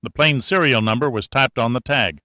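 Man doing a drawling country-boy sergeant, colloquial, excitable, bright voice, dry close sound. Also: medium pitch, normal speed, no echo, lightly acted. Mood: folksy. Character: folksy country-boy male air force sergeant mechanic.

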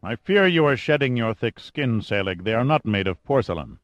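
A man's voice: mid-range voice, sing-song, moderate pace, dry recording, lo-fi sound, natural voice. A man voicing a huge, brutal American woman who was a prison guard, harsh and full of contempt. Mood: gloating.

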